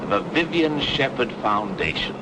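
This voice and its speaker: An actor playing a smug, scheming ex-husband with mocking, drawling sarcasm in an old radio drama. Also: male voice, medium pitch, sing-song, normal speed, very noisy, natural voice. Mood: scheming, cynical.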